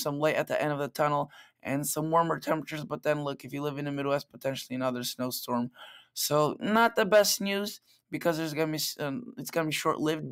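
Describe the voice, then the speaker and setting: Man, slightly raspy, laid-back weather vlogger walking through forecast maps. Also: fairly high pitch, fairly bright tone. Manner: chatty, loose, talks through model maps. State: slightly raspy late at night. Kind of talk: casual weather forecast vlog. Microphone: plug-in microphone.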